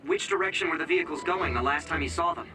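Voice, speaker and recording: An actor playing an earnest young mission support operator in an anime-style video game, focused and informative. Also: male voice, on the high side, wildly swooping pitch, fast talker, gravelly, over music, exaggerated voice. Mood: intent, cautious.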